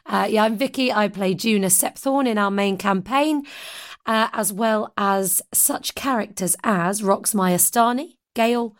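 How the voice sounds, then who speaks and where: A woman co-hosting a tabletop game podcast, chatting casually and laughing easily, her voice a little croaky today. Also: mid-range voice, measured pace. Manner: giggly, playful. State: slightly croaky voice. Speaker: podcast co-host.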